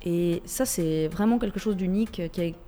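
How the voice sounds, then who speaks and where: Swiss French woman, radio guest, hesitant and low-pitched, soft music under. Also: normal speed. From Switzerland. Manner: hesitant, many fillers and restarts. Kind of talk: radio interview.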